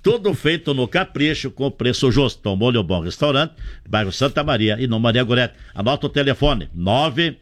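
A man's voice: medium pitch, animated, fairly quick. A middle-aged man with a gaúcho Brazilian accent hosting a regional radio talk show, joking and reading ads and listener messages. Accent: gaúcho Brazilian Portuguese. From southern Brazil.

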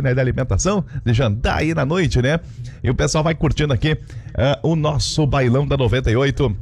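A male radio host with a Brazilian Portuguese accent chats through his music show in a folksy, easygoing way. His pitch sits in the middle of the male range. He talks into a studio microphone, peppering his talk with small filler tags. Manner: chatty, folksy, fills with "né" and "aí". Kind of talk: radio DJ chat.

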